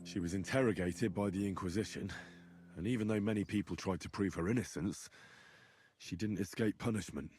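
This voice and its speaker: An actor playing an earnest young man in a medieval video game, with a husky voice and a hesitant manner. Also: low voice, a little fast, darkish tone, faint music behind, close to an everyday voice. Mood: grave. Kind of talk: video game dialogue acting.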